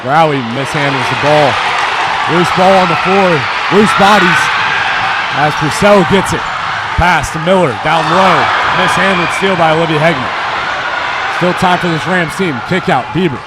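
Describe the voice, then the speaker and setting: A man's voice: fairly high pitch, normal speed. Young man calling basketball over a roaring crowd. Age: young adult. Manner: excited, projecting over the crowd. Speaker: student play-by-play announcer. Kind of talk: live basketball commentary. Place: indoor high school basketball game. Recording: loud crowd and gym noise behind.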